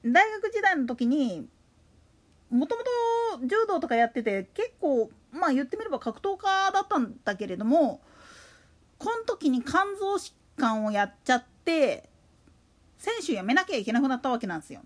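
High-pitched, lively, chatty woman. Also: normal speed. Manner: colloquial, slangy, chatty. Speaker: solo podcast host. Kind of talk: casual monologue about a radio announcer.

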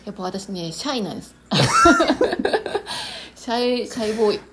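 A woman's voice: a little high, huge pitch swings, unhurried, fairly bright tone. Female co-host, casual self-deprecating storyteller, noticeable background noise. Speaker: amateur drama group member co-hosting an off-talk show. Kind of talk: casual chat, telling personal anecdotes.

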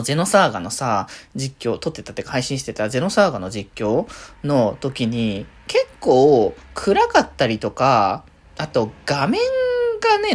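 A woman hosting a casual solo radio chat, rambling along in a chatty, giggly way. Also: deep voice. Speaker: solo podcast host and game streamer.

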